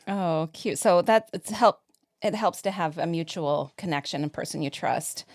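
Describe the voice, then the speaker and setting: A woman's voice: fairly low pitch, normal speed, no room sound, clean studio sound. A woman co-hosting a casual interview, reacting with encouragement and interest as she asks questions. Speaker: podcast co-host.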